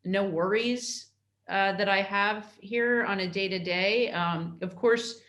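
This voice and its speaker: A middle-aged woman from New York, a consul answering interview questions in a halting way, with many fillers and restarts. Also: medium pitch, a bit bright, echoey room, muffled recording. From New York, United States.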